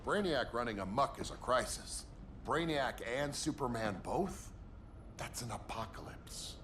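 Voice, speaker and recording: Patient game mentor, male, mid pitch, measured and admonishing, slight noise behind. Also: expressive, a bit bright. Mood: cautioning. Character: calm, sensible male mentor figure, patient and cautionary. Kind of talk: video game cinematic acting.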